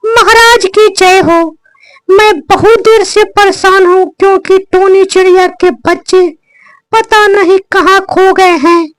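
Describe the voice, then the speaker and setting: बेहद पतली, चीं-चीं करती तोते की आवाज़. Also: male voice, fairly even intonation, unhurried, fairly bright tone, no room sound. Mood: pleading. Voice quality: squeaky, artificially high. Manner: earnest, brotherly, polite. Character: helpful male parrot, caring and eager, cartoon bird.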